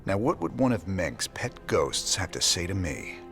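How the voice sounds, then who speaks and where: A man playing a wry, determined rebel space commander in a video game, with a husky drawl. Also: deep voice, big pitch swings, moderate pace, fairly bright tone. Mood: commanding. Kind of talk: video game cutscene acting. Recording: reverberant room with music underneath.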